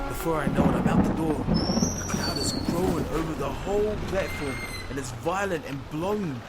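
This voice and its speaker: A man's voice: on the high side, lightly acted. A man playing a time-travelling fisherman who tells his story in the first person, theatrical and anguished, in an audio drama. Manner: theatrical, swings from breathy murmur to anguished shouting.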